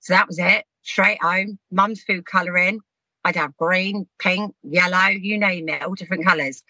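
Brief: woman, 50s, podcast guest, laughs through her stories, bright tone. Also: fairly low pitch, steady tone, normal speed, dry recording. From Southampton, England. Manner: chatty, frank, laughs while telling stories. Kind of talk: casual storytelling chat.

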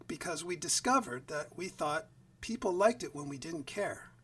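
A man is talking on a video call as a guest musician. His voice is quiet and level, and it sits fairly high. He sounds a bit distant, as if he is some way back from the mic. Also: normal speed. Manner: quiet, even speaking voice. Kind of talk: casual interview chat.

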